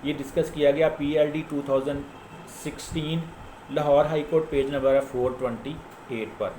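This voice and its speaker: A Pakistani man with a slightly high voice teaches in an echoey room with faint noise behind him. He explains in an informal, didactic way, like a lawyer walking listeners through the rules, and restates his main points. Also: steady tone, normal speed, dark tone. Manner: didactic, repeats key points for emphasis. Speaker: lawyer giving a legal lecture. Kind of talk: informal explanatory lecture citing case law.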